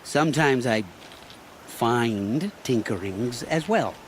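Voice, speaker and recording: A man's voice: mid-range voice, expressive, takes it slowly, a little hiss or hum. An actor playing a sly, ingratiating middle-aged trader in a video game, with theatrical salesman's patter. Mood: smooth, scheming. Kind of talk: video game dialogue acting.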